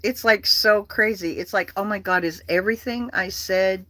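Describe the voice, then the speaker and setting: A livestream host chatting casually and rambling, with an animated, profane manner and a habit of repeating phrases. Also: mid-range voice, normal speed, no room sound.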